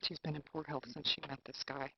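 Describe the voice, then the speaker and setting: A middle-aged mother, worried and nagging. Her voice sits fairly low. The sound is dry and a bit dull, like old game video. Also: little pitch movement, fairly quick, creaky, husky, no room sound, full character voice. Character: anxious, nagging mother of a sick daughter. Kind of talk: live-action game acting.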